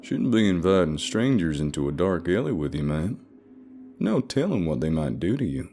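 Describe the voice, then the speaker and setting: A man's voice: low voice, sing-song, moderate pace, dark tone, slight background noise. A man voicing a malicious, cocky male monster with a country accent and a drawling, sneering manner. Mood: menacing, smug.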